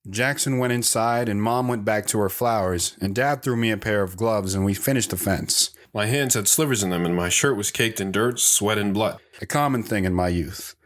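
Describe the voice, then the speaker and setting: A man's voice: lowish voice, moderate pace, natural voice. A man acting a reflective lone wanderer who narrates his boyhood in an audio drama.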